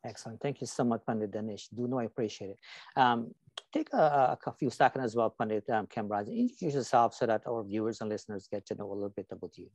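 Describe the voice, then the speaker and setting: A male host moderates an online discussion in a fairly low voice. The sound is dry, with little room in it. He talks casually and wordily, thanking people and restating his points. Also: quick pace, a little mellow, no echo. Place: online video call.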